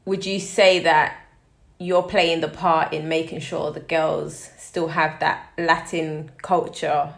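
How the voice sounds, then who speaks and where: A woman hosting a podcast, asking questions in an unhurried, thoughtful way. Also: low voice, little pitch movement, creaky. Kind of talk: interview questions.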